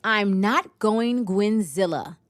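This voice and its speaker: A woman playing a fiercely competitive, driven high-school team captain in an audio drama. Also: lively intonation, slow, no room sound, clean studio sound. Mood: stressed, pushy. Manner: driven, insistent. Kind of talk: scripted audio drama acting.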